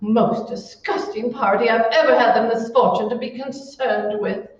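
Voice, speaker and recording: A woman's voice: fairly high pitch, normal speed, natural voice. A woman playing a haughty, bossy married hostess in an old-time radio thriller, scolding in a theatrical, indignant way. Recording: echoey hall, distant mic, muffled.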